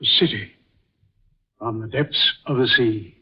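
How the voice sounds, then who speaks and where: An actor playing a solemn, dramatic man in an old-time radio drama, speaking in a deliberate, theatrical way. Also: lowish voice, slightly rough. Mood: tense. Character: solemn male film star, grave and dramatic. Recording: muffled, tinny vintage radio recording.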